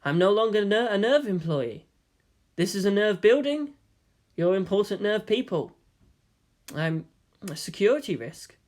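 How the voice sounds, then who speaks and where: A man voicing a gentle, polite teenage boy with an apologetic, resigned manner in a narrated story. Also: sits high, expressive, measured pace, pauses often, pitched above the performer's own voice. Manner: soft-spoken, apologetic.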